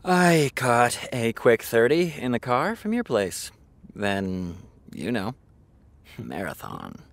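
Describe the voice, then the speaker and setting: An actor playing a sardonic, defensive television writer with a dry, theatrical way of talking, in naturalistic audio drama style. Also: male voice, medium pitch, sing-song, takes it slowly, vocal fry, heavily acted. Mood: tired, evasive. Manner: dry, sarcastic, dramatic emphasis. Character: sardonic, defensive male TV showrunner, harried and theatrical.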